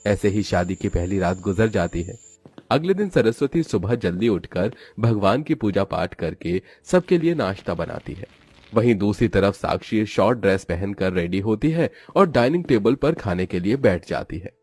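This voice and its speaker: Low, husky male story narrator. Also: lively intonation, normal speed, darkish tone, no room sound. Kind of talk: scripted cartoon story narration.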